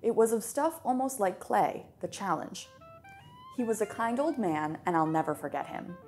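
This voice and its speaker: A woman's voice: mid-range voice, animated, normal speed, a little mellow, faint music behind. A young adult woman reading a diary entry aloud indoors as a guest reader. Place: indoor gallery room.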